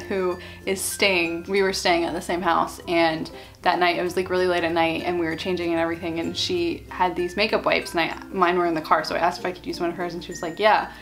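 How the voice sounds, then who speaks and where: A woman's voice: on the low side, a bit bright, low-quality recording. A young woman in her twenties chatting animatedly to a webcam in a small bedroom, telling stories and acting out what her friends said. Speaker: vlogger. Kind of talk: casual storytime chat.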